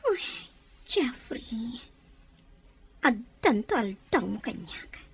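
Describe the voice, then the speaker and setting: A woman with an Ilocano accent voicing a jealous young woman obsessed with a man who is engaged to someone else, speaking slowly and bitterly. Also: a little high, big pitch swings, slightly rough, heavily acted. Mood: weeping. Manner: slow, bitter, swinging between sobs and defiance. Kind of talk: melodramatic audio drama.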